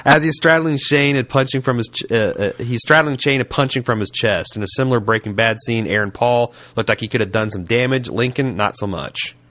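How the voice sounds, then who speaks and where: A man's voice: mid-range voice, a little fast, hardly any pauses, a bit bright, no echo. A man from Indianapolis with a light Southern accent, hosting a casual podcast and reading listener mail in a frank, profane, joking way. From Indianapolis, USA. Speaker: podcast host.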